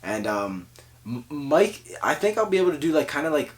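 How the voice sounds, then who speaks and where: A man's voice: a little high, fairly quick, distant mic. Slangy young man from Jersey, a bit off-mic. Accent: South Jersey. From New Jersey. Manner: slangy, swears a lot, animated storytelling. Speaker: podcast host. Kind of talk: casual chat.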